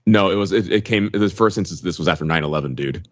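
A man's voice: sits low, fast talker, no room sound. A man from the United States chatting as a podcast panelist, emphatic and opinionated. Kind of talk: casual discussion. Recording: dull, dry lo-fi audio.

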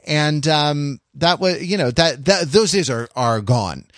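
An American man co-hosting a podcast, talking casually in an opinionated, halting, rambling way. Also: on the high side, animated, fairly bright tone, dry recording, clean studio sound. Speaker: podcast co-host. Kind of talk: casual discussion.

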